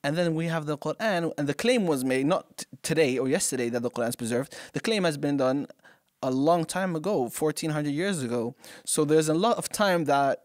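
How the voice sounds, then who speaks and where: A man's voice: on the high side, animated, a little fast, a little mellow, dry recording, studio quality. A man hosting a podcast, speaking hesitantly with frequent restarts. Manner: hesitant, many fillers and restarts. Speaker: podcast host. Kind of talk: podcast conversation.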